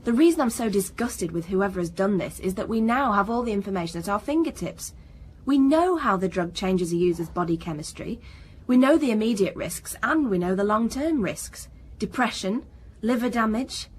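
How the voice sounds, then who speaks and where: A woman's voice: mid-range voice, animated, normal speed, hardly any pauses, breathy edge. A woman playing an earnest, defensive research scientist in a radio crime drama, precise and lecturing in manner. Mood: indignant. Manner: precise, lecturing, slightly superior.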